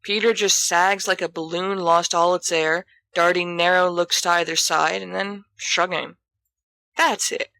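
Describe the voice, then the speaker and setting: A woman's voice: on the low side, little pitch movement, moderate pace, hardly any pauses, bright, forceful tone, no room sound. A woman reading a scripted story aloud as an audiobook narrator. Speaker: volunteer audiobook reader.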